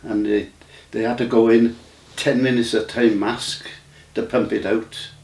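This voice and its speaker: Slow, gravelly old man. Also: medium pitch, flat delivery, a little mellow, slight background noise. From Wales. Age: 90s. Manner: halting, with many restarts and fillers. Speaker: interview guest. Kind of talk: casual storytelling of memories. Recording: roomy sound from a distant mic.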